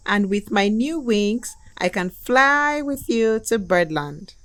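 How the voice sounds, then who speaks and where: A woman voicing a hungry, scheming male tortoise in a children's cartoon, in a wheedling, drawn-out way. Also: medium pitch, animated, fairly bright tone. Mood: persuasive.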